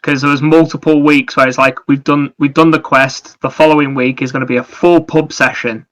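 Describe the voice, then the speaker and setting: A man's voice: fairly high pitch, fairly even intonation, a little fast, fairly bright tone. A British man talking in a casual, conversational style as a podcast co-host. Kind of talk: casual chat.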